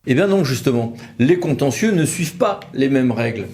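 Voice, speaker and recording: A man's voice: medium pitch, animated, moderate pace, a little mellow, slight background noise. A man presenting as a legal expert, explaining in an informal, conversational way with wry, colloquial asides. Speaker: legal expert from a law firm presenting a video.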